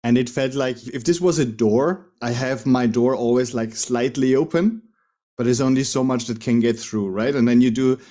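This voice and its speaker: A man's voice: mid-range voice, normal speed, mellow. A podcast host, a man with an Austrian German accent who speaks English as a second language, chatting reflectively with his guest. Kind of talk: conversational interview.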